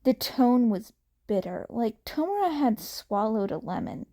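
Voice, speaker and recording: A woman's voice: fairly high pitch, sing-song, unhurried, mellow. A woman reading fan fiction aloud as an amateur audiobook-style narrator. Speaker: podfic reader.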